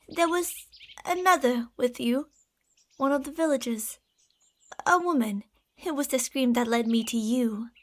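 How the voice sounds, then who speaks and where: An obsessive lamia beast-woman, played by a woman, speaks in a high, soft voice. Her phrasing is formal and soothing, dipping into whispers in intimate ASMR roleplay style. Beneath the tenderness she is possessive and deceitful. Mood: solemn, apologetic.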